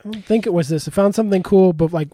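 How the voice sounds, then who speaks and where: Male, slightly higher pitch; playful, jokey, quick to laugh. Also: dark tone, dry recording, clean studio sound. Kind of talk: casual podcast chat.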